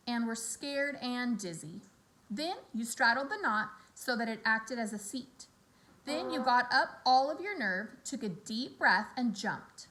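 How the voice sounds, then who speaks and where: A woman narrates a children's storybook with lots of expression. Her voice is bright and on the high side, and it moves up and down in a lively way, like a teacher reading aloud. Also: poor audio. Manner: animated, acts out character dialogue.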